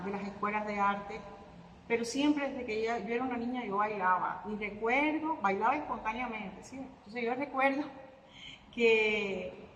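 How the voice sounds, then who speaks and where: A woman's voice: mid-range voice, fairly bright tone. A Cuban woman, an artist and guitarist, answering interview questions reflectively with hesitant fillers and restarts. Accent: Cuban Spanish. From Cuba. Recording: echoey hall with faint ambient noise.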